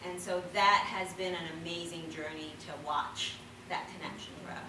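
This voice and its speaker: Woman, middle-aged, parent on a panel. Lower voice, reflective talk, heard from afar with background noise. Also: steady tone, normal speed. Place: panel discussion in a room. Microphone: distant microphone. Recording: very echoey, distant, noisy room.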